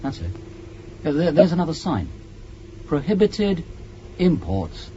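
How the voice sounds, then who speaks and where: A man's voice: fairly high pitch, wildly swooping pitch, unhurried, mellow. An actor playing an eager, inquisitive young crewman in a 1980s radio space drama. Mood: insistent. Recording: dull old radio recording, faint noise.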